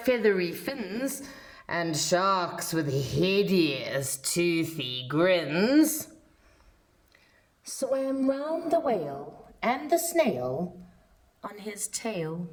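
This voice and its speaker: A woman reading a rhyming children's picture book aloud in an expressive, dramatic way with drawn-out phrasing. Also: lowish voice.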